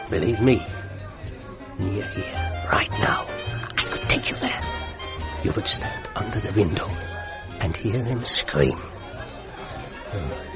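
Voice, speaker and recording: A man playing a bitter, frightened Berliner in a radio drama, with a German accent and a halting, confiding manner. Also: sits low. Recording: muffled radio drama with music behind.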